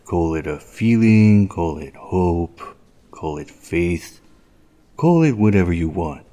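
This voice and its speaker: Man playing a low-voiced, dry small-town cop. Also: expressive, takes it slowly. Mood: earnest. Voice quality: low, mellow voice. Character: stolid small-town male police officer, dry and philosophical. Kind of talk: scripted audio drama acting.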